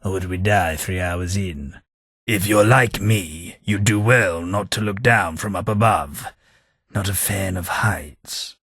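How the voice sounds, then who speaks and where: An English actor voicing a posh, sardonic ghoul gentleman companion in a video game, with a plummy, dry delivery. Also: fairly low pitch, husky, no room sound, heavily acted. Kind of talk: game voice lines.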